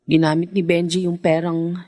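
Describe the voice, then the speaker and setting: A woman's voice: lowish voice, little pitch movement, normal speed, warm tone, dry recording, clean studio sound, natural voice. An actress playing an earnest young married daughter devoted to her family, in a heartfelt Filipino radio drama with a Filipino accent. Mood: concerned.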